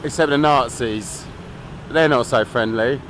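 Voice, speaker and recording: A man's voice: mid-range voice, a little slow, a bit bright. A man talking casually as a travel vlogger, rambling with asides and the odd swear word, outdoors on a city street. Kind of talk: casual vlog narration. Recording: street traffic noise. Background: traffic and street noise.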